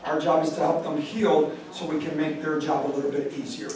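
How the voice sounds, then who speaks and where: A man's voice: on the high side, level tone, fairly quick. A man informally moderating a community panel at a public meeting, giving plain instructions and repeating his questions. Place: large echoey room at a public meeting. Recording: very echoey hall, distant mic, crowd noise.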